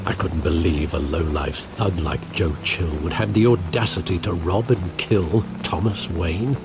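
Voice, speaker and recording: An actor playing a loyal elderly male butler, speaking in a measured, formal, grave way. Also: low-pitched, very noisy. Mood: reflective. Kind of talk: video game cutscene acting. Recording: game audio with rain ambience. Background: rain and ambience.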